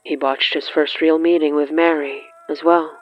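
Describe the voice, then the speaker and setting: A narrator reading scripted dramatic horror fiction in a measured, deliberate way with dramatic pauses. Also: medium pitch, level tone, highs cut off. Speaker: narrator of an audio fiction podcast. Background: faint music.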